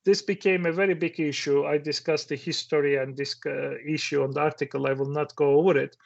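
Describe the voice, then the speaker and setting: A man's voice: on the high side, fairly even intonation, fairly quick, vocal fry. A middle-aged man whose first language is Turkish, giving a reflective conference talk that poses questions to the audience, with occasional restarts. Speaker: conference speaker. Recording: dull lo-fi sound.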